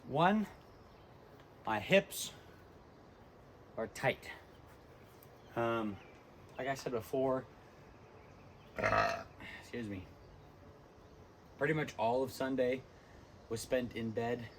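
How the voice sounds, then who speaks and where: A tired, hoarse young man talking casually between lifts in a gym, rambling with many ums. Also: medium pitch, animated, extremely slow, pauses often, a bit bright, slight background noise. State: hoarse, lost voice from illness. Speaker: fitness vlogger. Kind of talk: casual gym vlog talk.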